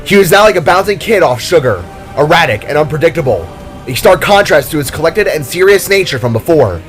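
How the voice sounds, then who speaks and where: A man's voice: a little high, big pitch swings, fairly quick. A man reading scripted narration for an action story in a dramatic storyteller's style. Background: music.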